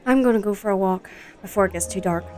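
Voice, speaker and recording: Casting: actress as sardonic, easygoing female student in audio drama, mid pitch, faint crowd behind. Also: quick pace, a little mellow, lightly acted. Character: skeptical but easygoing female college student. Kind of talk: amateur audio drama dialogue.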